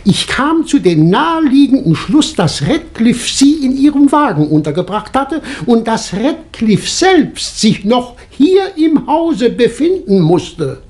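A shrewd doctor in an old radio whodunnit. His delivery is very animated in pitch, yet precise and deliberate, with a self-assured, triumphant edge. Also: full character voice. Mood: measured, triumphant. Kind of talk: 1960s German radio crime drama acting.

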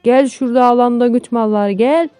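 A woman's voice: fairly high pitch, brisk, mellow, no echo, soft background music, voice pushed up, lightly acted. A woman voicing a brash, teasing peasant father in a storybook, blunt and rustic, calling out bossily. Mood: teasing, bossy.

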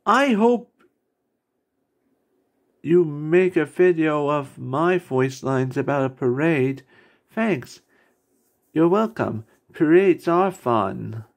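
A man reading amateur character voice lines indoors in a halting way, stumbling over words. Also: on the high side. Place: indoors at a computer desk.

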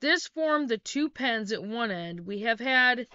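A woman's voice: fairly high pitch, lively intonation, measured pace, pushed and bright, smooth, dry recording. A middle-aged woman, a homesteading vlogger, reads a practical how-to narration in a small wood-paneled room, with small self-corrections. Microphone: laptop webcam. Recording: dull, lo-fi webcam sound.

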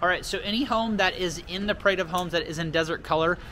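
A man's voice: sits high, fast, pushed and bright. An American man in his thirties giving an informal, enthusiastic walk-through tour in casual, slangy language. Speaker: real estate vlogger giving a home tour. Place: walking through a model home and its yard. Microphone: handheld camera at arm's length. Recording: noisy handheld camera with ambient sound.

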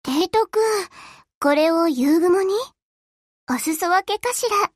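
A woman's voice: extremely high pitch, animated, takes it slowly, no echo, clean studio sound, full character voice. A woman voicing a poised, teasing young lady warship in an anime game. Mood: teasing, pleased. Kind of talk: Japanese anime game voice acting.

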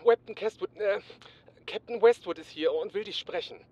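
A man's voice: high-pitched, normal speed, darkish tone, slight background noise, close to an everyday voice. A man playing an anxious, fussy detective's assistant in a comedic audio drama, nervous and stammering. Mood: worried.

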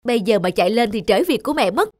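A woman voicing a caring mother in a children's cartoon, explaining things gently. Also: on the high side, lively intonation, fast, a little hiss or hum, natural voice. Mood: hurried. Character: caring mother of a young girl, sometimes hurried. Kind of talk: Vietnamese children's cartoon voice acting. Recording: music bed behind the voice.

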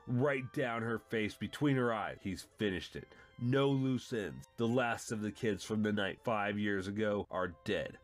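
A man with a fairly low voice narrates a comic book story in a dramatic style, as the host of the reading. Music plays clearly behind him.

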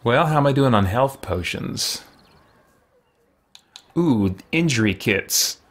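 A man in his thirties giving casual, unhurried game commentary, musing aloud and often hesitating. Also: medium pitch, animated. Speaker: streamer playing a role-playing game. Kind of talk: casual let's play commentary. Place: small indoor room with shelves, at a desk.

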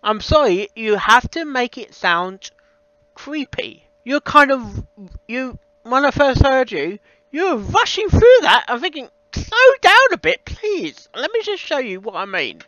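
A young man with a bright, clean voice gives a casual critique. He speaks haltingly and circles back over his own phrases. The recording is close and dry, though a little dull. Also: extremely high pitch, very animated, no room sound. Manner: halting, repeats himself. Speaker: reaction video host reviewing a fan audio reading. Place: small room at a computer, indoors.

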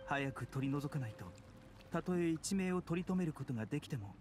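An actor playing a refined young doctor in an anime game, polite, gentle and measured, with clinical gravity. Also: male voice, on the high side, expressive, natural voice. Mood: grave, composed. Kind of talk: Japanese anime game acting. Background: background music.

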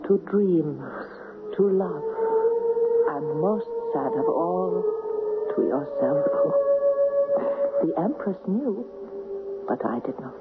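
Earnest young fiancée, muffled radio. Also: female voice, mid-range voice, wildly swooping pitch, slow pace, pauses often. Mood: grave reproach. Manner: earnest, dramatic, pleading. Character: earnest, anxious young woman in love. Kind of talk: 1970s radio drama acting. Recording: muffled 1970s radio broadcast with music.

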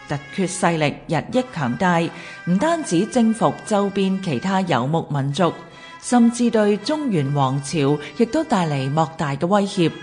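Low, slow-speaking woman narrator, Hong Kong Cantonese. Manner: formal, measured. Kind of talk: scripted documentary narration. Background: background music.

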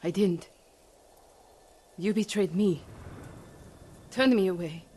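A woman's voice: mid-range voice, slow pace, dark tone, husky. An actress playing a desperate, wounded-hearted woman thief in a video game, pleading and emotional. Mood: hurt, pleading. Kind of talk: dramatic video game acting.

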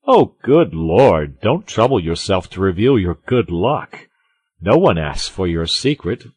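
A man's voice: sits low, big pitch swings, a little slow, darkish tone, breathy edge, no echo, voice pushed up, full character voice. A man voicing a boastful, blustering musketeer in a storybook reading, with pompous, emphatic, theatrical delivery. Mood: scolding. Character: boastful, loud, self-satisfied male musketeer, blustering and theatrical. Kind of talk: audiobook character acting.

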